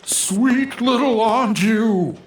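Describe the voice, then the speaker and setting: A man's voice: very high-pitched, extremely slow, slight background noise, voice pushed up, heavily acted, rough recording. A man voicing a tender, emotional, enthusiastic fellow who exclaims theatrically in an audiobook story. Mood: overjoyed relief. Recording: music behind the voice.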